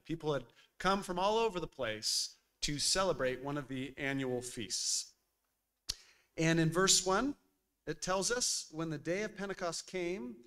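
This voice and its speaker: A man preaches from a church pulpit, talking to the congregation in a relaxed, conversational way. His voice is bright and forceful and sits a little high for a man. He speaks into a boom mic on a stand. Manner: lively and conversational, with frequent uh fillers. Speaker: pastor. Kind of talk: sermon.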